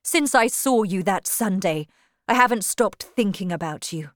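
An intense young gentleman preacher , as a female narrator plays him, speaking slowly and earnestly. He is remorseful and lovesick, pressing hard in a dramatised storybook reading. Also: medium pitch, lively intonation, no echo, studio quality, close to an everyday voice. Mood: desperate pleading.

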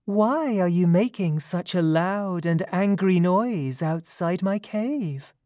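A storyteller voicing a gentle female mountain fairy from a myth, in a sweet, soft voice. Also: lively intonation, takes it slowly, no echo, clean studio sound. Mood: scolding. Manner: sweet and gentle, a little higher.

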